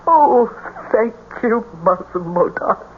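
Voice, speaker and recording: A woman's voice: fairly high pitch, animated, takes it slowly. Grieving mother, wildly swooping voice. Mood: tearful gratitude. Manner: breathless, repeating herself emotionally. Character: grieving, gullible, lonely mother of a dead soldier. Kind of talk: old-time radio melodrama acting. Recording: noisy, tinny old radio broadcast.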